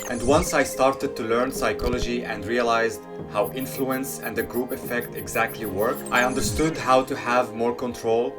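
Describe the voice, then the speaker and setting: A man in his twenties from Syria, calmly reading an earnest, reflective monologue he wrote himself. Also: mid-range voice. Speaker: video essayist. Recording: voice-over with clear guitar music. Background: guitar music.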